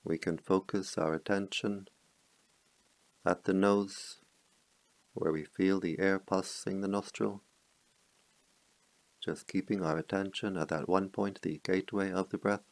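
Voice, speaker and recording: A middle-aged man leads a guided meditation in a slow, calm, softly spoken way, with long pauses between phrases. His voice sits very low and carries a gravelly texture. The sound is close and dry, with no room echo. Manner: chants Pali verses in a low murmur. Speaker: meditation teacher.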